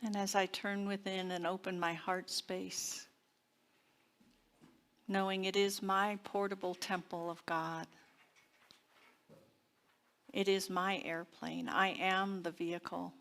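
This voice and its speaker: Slow-speaking woman minister preaching. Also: mid-range voice, flat delivery, fairly bright tone. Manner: deliberate, reverent, with long pauses. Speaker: minister leading a service. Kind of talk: sermon and guided prayer. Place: church service.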